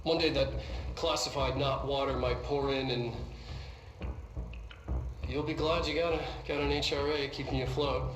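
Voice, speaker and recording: A man's voice: mid-range voice, steady tone, normal speed, natural voice. Man playing an awkward scientist, echoey room. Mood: awkward, dry. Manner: hesitant, rambling, awkwardly dry. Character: awkward, nervy male scientist giving safety briefings. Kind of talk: halting, informal scripted briefing. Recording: roomy echo with clear background noise.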